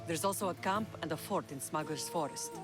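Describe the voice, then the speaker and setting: A woman's voice: low-pitched, brisk, natural voice. Woman playing a fierce warrior mother over music. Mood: steely. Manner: firm, resolute. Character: fierce, unforgiving middle-aged warrior mother. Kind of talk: dramatic video game acting.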